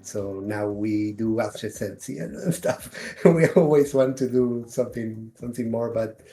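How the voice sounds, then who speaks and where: A game developer from Argentina speaks English with an Argentine Spanish accent, his voice warm and relaxed. He explains things haltingly, restarting words and laughing lightly, with faint music in the background. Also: mid-range voice. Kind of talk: casual video call interview.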